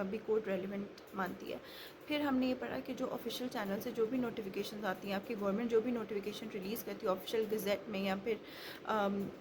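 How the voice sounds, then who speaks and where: A Pakistani woman with a fairly high voice delivers an explanatory lecture. She is instructive, often repeating and rephrasing each point for learners. The recording has clearly audible background noise. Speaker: law lecturer and advocate. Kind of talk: explanatory lecture mixing Urdu and English. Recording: clearly noisy background ambience.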